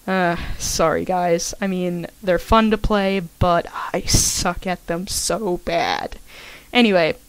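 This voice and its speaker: A woman's voice: fairly low pitch, slow, smooth, dry recording, low-quality recording. A woman channel host giving a chatty, scripted introduction to listeners, informal and full of self-aware asides. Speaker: host of a gaming channel, fan fiction writer introducing a podfic.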